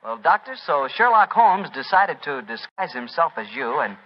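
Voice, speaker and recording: Vintage male announcer, lively swooping sales pitch, muffled sound in a reverberant hall. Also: normal speed, slight background noise. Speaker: radio announcer. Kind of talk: 1940s radio sponsor pitch. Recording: muffled 1940s radio, long hall reverb.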